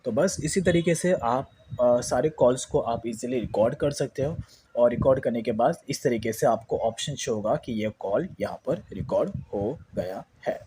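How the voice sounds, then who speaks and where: Indian male tutorial host, mid-pitched and soft-edged, casual step-by-step explainer mixing in English, faintly noisy ambience. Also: normal speed. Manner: repetitive explaining with English words mixed in.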